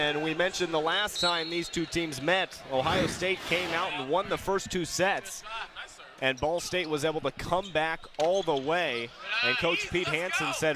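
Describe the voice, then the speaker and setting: Male play-by-play commentator, American, higher-pitched voice, lifts into shouts on big points, loud arena din behind. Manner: raises voice on big plays. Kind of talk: live sports commentary. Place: indoor sports arena during a match. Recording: loud gym noise, bouncing balls. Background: loud arena noise, balls bouncing.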